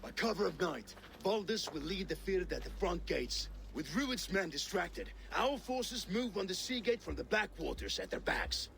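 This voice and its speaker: Creaky earnest viking captain for a game, really animated, noise behind. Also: male voice, high voice, moderate pace, few pauses, heavily acted. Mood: earnest briefing. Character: battle-ready Viking war captain, earnest and fervent. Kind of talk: dramatic video game acting. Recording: game audio with background noise.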